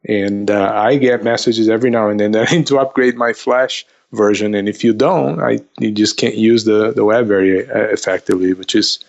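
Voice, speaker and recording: Slightly deep, halting man on dull audio. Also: steady tone, vocal fry. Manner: halting, repeats words as he goes. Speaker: investment counselor, podcast guest. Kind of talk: conversational interview answers.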